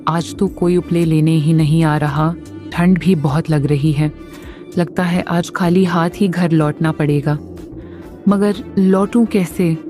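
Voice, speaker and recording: A woman's voice: sits low, fairly even intonation, slow pace, warm tone. A woman voicing a plaintive, shivering old village woman. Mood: weary. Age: older. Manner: plaintive, weary. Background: background music.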